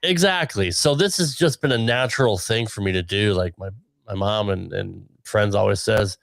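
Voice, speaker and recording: A middle-aged man with a low, gravelly radio voice, recorded close and dry. He talks in a relaxed, rambling podcast manner, full of ums and self-corrections. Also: moderate pace, no room sound. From Connecticut, USA. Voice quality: deep radio voice. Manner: relaxed, chatty, many ums and restarts. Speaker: podcast producer and guest. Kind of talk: casual podcast conversation.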